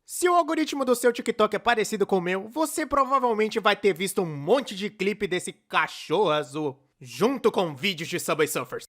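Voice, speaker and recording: A man's voice: high voice, moderate pace, few pauses. Guy as a chatty brazilian cartoon narrator, sarcastic, voice bouncing all over, comedy commentary. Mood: chatty, enthusiastic. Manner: expressive, playfully sarcastic. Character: chatty, excitable young male cartoonist narrator. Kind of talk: animated comedic commentary, scripted narration in a fan dub.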